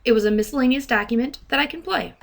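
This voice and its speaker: A woman software trainer narrating a step-by-step demo in a measured, instructional way. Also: on the high side, creaky.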